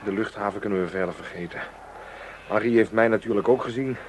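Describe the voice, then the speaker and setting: An actor playing an easygoing, practical aide in a radio drama, matter-of-fact, informal and wry. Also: male voice, fairly low pitch, fast, natural voice. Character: easygoing, practical male aide to his boss. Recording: noisy old radio drama recording.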